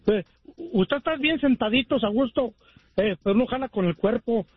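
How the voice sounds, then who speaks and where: A man's voice: extremely high pitch, normal speed, breathy edge. A man calling in to a radio show, chatting in a folksy, easygoing way full of slang. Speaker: caller. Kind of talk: casual call-in chat. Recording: muffled lo-fi phone call.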